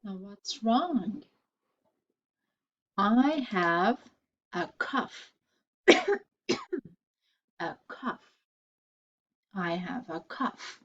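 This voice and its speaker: A woman teaching English vocabulary, repeating each phrase slowly and carefully for learners. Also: mid-range voice, big pitch swings, a bit bright, lo-fi sound. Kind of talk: slow, deliberate vocabulary lesson.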